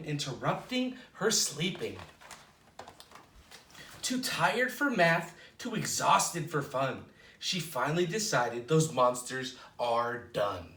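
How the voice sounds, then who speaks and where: A man hosting a children's storytime, reading a picture book aloud in an expressive, playful way with drawn-out dramatic words. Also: fairly high pitch. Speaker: children's storytime host at a library. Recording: roomy echo, distant microphone.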